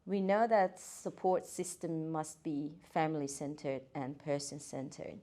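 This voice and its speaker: A woman's voice: sits low, fairly even intonation, a little slow, mellow. A woman, a guest occupational therapist talking earnestly, with hesitant fillers and restarts. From Iran. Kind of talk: casual podcast interview.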